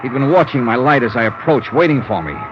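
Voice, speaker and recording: An actor playing a hard-boiled investigator who tells his own ordeal in a deliberate, intense 1950s radio drama style. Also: medium pitch, lively intonation, noisy background, natural voice. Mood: grim, dramatic. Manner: deliberate, intense, theatrical storytelling. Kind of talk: 1950s radio drama acting, dramatic first-person narration. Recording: dull vintage radio recording with prominent music.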